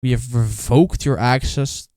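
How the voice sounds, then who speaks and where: A man's voice: mid-range voice, steady tone, measured pace, darkish tone, no room sound, clean studio sound. A man reading on-screen text aloud and reacting casually as he goes. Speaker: YouTuber reacting to horror videos. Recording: noticeable background noise.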